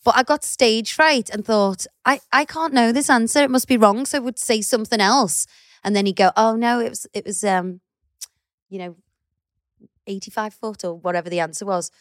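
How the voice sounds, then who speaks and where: Scouse woman co-host, middle-aged, lively storyteller, higher voice, dry close mic. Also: normal speed, no room sound. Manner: chatty, animated storyteller who swears casually. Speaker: podcast co-host. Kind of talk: casual chat.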